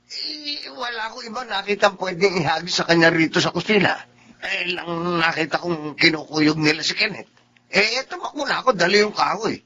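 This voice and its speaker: An actor with a Filipino accent playing a gentle, proud middle-aged father in a radio drama, tender and earnest. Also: high-pitched, normal speed. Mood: defensive. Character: gentle, proud little father with dwarfism, long used to mockery. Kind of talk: Filipino radio drama acting and first-person narration. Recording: dull audio with music underneath.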